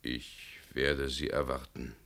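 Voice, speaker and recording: A brooding radio-play man, very deep and rough-toned, quiet and tense. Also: little pitch movement, takes it slowly, exaggerated voice. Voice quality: deep gravelly voice, hushed. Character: troubled man, urged to murder his double.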